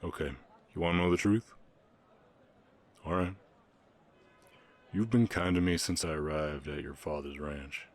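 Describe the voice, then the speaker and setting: A man playing a kind, protective, shy orc ranch hand with a Southern US accent, speaking gently and plainly in an intimate roleplay. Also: deep voice, fairly even intonation, a little slow, long pauses. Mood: tender, hesitant. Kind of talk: intimate ASMR romance roleplay.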